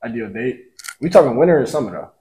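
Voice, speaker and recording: A man's voice: mid-range voice, lively intonation, fast, dark tone, low-quality recording. A man in his twenties chatting casually as a blind date contestant, bantering with slang and frequent laughs. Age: 20s. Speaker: dating show contestant. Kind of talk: casual chat.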